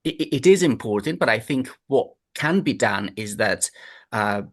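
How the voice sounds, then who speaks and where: Halting man from Afghanistan. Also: medium pitch, animated. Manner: halting, many repeated words and fillers. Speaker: discussion participant. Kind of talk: casual group discussion.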